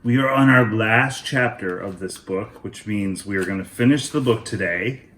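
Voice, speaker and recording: A man hosting a children's read-aloud, narrating a storybook in a deliberate, expressive way. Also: fairly low pitch, reverberant, slight background noise.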